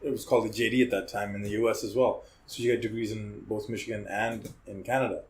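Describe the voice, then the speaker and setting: A man's voice: fairly low pitch, flat delivery, fast talker. A man in his forties who grew up in Hong Kong, a guest in a casual podcast chat, talking freely and often ending sentences with "right?". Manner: chatty storyteller, tags sentences with "right?". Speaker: podcast guest, criminal defense lawyer.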